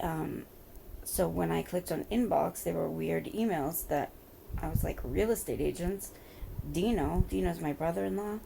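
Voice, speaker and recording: A woman in her thirties with a hoarse, deeply gravelly voice that sits low and dark. She talks to her camera at home in a halting, rambling way, stopping often on fillers. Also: a little hiss or hum, poor audio. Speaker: vlogger. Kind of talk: personal confessional vlog.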